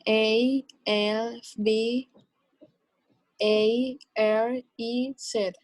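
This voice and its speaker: Teen Colombian girl, Spanish accent, exam candidate, flat slow halting delivery, dry sound. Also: a little high, no room sound, low-quality recording. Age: teens. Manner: hesitant, halting learner English with fillers. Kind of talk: speaking exam answers.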